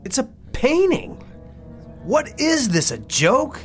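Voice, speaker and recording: A man's voice: very high voice, wildly swooping pitch, slow pace, soft background music, heavily acted. An actor playing an agitated, bewildered man in a radio drama, indignant and urgent, sometimes stammering in confusion. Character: agitated bewildered man trapped on a film set.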